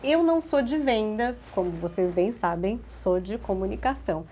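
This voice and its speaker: A woman's voice: mid-range voice, lively intonation, normal speed, dark tone, breathy edge. A woman hosting an interview, asking questions in a chatty, playful way. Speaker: podcast host.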